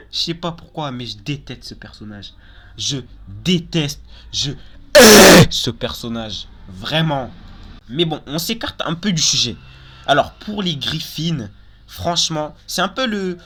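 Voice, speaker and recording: A man's voice: a little high, pushed and bright. A young man hosting a casual countdown video, talking informally and giving opinions, often repeating and qualifying himself. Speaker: video host.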